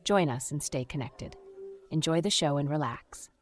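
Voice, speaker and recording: A woman's voice: sits low, a little slow. A woman podcast announcer reading a scripted promotional announcement. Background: music.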